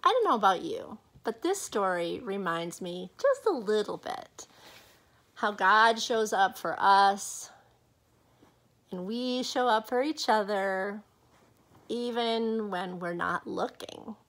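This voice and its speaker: A middle-aged woman reading a children's picture book aloud expressively, with dramatic pauses and casual asides to young listeners. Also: mid-range voice, lively intonation, fairly bright tone.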